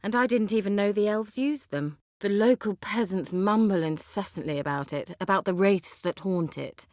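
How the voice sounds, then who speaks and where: An actress voicing a haughty, witty, sly sorceress in a video game, with an arch, formal and sardonic way of talking. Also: female voice, mid-range voice, moderate pace, a little mellow, no echo, clean studio sound, natural voice. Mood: playful, arch. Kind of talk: video game character dialogue.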